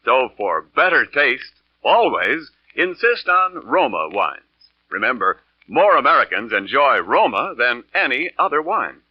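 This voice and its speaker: A man's voice: mid-range voice, very animated, unhurried, no room sound. A man announcing for a 1940s radio show, delivering scripted sponsor copy with polished, emphatic salesmanship. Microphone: studio radio microphone. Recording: muffled old radio broadcast, faint music.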